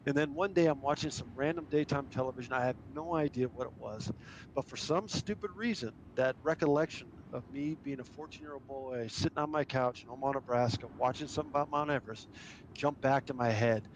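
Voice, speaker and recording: A man's voice: mid-range voice, a little fast, poor audio. Man, middle-aged, from Germany, casual storytelling, enthusiastic, faint background hiss. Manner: enthusiastic, anecdotal. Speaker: guest speaker, adventurer and filmmaker.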